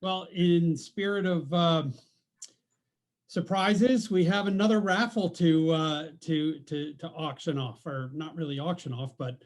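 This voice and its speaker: A man's voice: a little high, unhurried. Light-voiced man announcing a raffle. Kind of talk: casual announcement. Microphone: computer mic on a video call.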